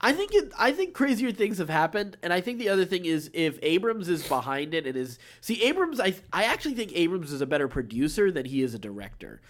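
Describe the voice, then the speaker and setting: Male podcast co-host, lively swings in pitch, slightly high voice, loose and rambling casual chat. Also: fast talker, hardly any pauses. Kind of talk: casual pop-culture news chat.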